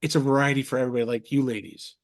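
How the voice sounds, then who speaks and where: A man hosting a podcast over a video call, rambling warmly, restarting his sentences and heaping compliments on his guests. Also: mid-range voice, fairly even intonation, very fast. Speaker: podcast host. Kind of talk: casual interview chat.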